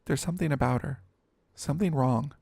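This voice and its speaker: A man's voice: medium pitch, brisk, creaky, a little mellow, slightly rough, no echo, pitched above the performer's own voice. A man reads as a scared young girl in an audiobook tale. The voice is lifted well above his own, reluctant and insistent, recorded very dry. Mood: uneasy, insistent. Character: anxious, reluctant young girl, a pleading daughter. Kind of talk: audiobook character dialogue.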